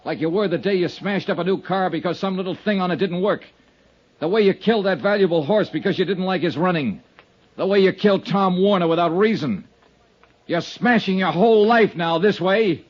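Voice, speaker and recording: An actor playing a calm, firm male insurance investigator who narrates in a steady, clipped way and is firm when he confronts someone, in 1950s radio drama style, on a muffled old broadcast with music. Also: high voice, normal speed, long pauses. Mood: confronting. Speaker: narrator and lead.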